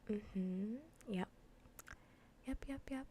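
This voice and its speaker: A woman streaming in a soft, breathy, often whispered ASMR voice, chatting informally and playfully close to the mic. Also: mid-range voice, drawn-out words, slight background noise. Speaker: streamer hosting a live cozy gaming broadcast. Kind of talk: soft-spoken ASMR casual chat. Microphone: close ASMR microphone.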